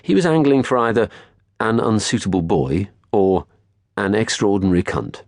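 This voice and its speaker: A man reading scripted first-person literary fiction as an audiobook narrator, measured, articulate and wry. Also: on the low side, animated, gravelly, a little mellow, dry recording, clean studio sound.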